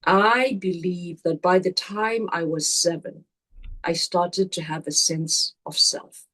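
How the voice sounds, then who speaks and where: An older woman, answering interview questions slowly and reflectively, pausing and restarting as she thinks. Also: on the low side, fairly bright tone, poor audio. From Zambia. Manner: slow, deliberate, with pauses and restarts. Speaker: guest.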